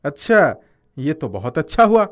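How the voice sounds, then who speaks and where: Female reader as village father, deepened low voice, affectionate, humble, plain, storybook character. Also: big pitch swings, moderate pace. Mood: pleased.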